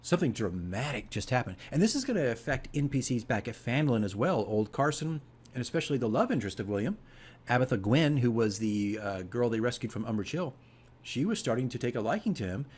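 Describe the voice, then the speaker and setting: A man's voice: mid-range voice, animated, fast talker, a little mellow, slightly rough. A man hosting a casual video diary as a game master, explaining things conversationally and emphatically, often checking in with the listener.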